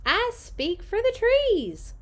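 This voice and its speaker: A woman voicing a bossy, indignant oldish little male forest creature in a children's storybook, sharp and emphatic. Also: very high voice, wildly swooping pitch, takes it slowly, voice pushed up, heavily acted. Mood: insistent. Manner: shouting, emphatic. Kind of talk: children's storybook read-aloud.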